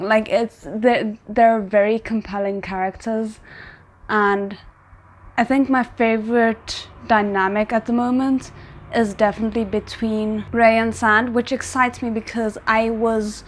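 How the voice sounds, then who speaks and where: A woman hosting a reaction video, talking casually and thinking aloud in halting, repeated phrases. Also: medium pitch. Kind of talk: casual spoken reaction and commentary.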